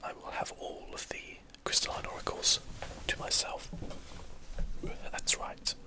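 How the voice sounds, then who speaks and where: A man with a southern English accent whispers casual, chatty ASMR game commentary in a breathy, unvoiced voice, musing aloud as he plays. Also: measured pace. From southern England, UK. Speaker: host of an ASMR gaming channel.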